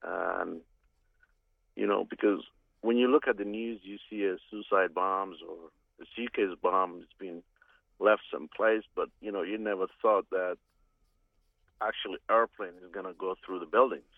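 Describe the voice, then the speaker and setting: A man from Somalia calling in to a radio talk show, speaking haltingly with many restarts over a phone line. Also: sits low, steady tone, vocal fry, dry recording. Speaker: caller.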